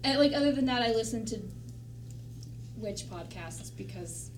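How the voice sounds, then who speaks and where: A woman chatting casually in a halting, tentative way. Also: on the high side, expressive, slight background noise. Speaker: discussion panelist. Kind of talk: casual chat. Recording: echoey room, distant from mic.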